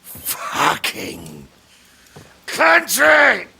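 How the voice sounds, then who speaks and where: A man's voice: high voice, huge pitch swings, drawn-out words, vocal fry, pushed and bright, slight background noise, heavily acted. A man playing a furious, foul-mouthed medieval king in a video game cutscene, imperious and sarcastic. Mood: explosive rage. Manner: imperious, sarcastic, erupting into bellows.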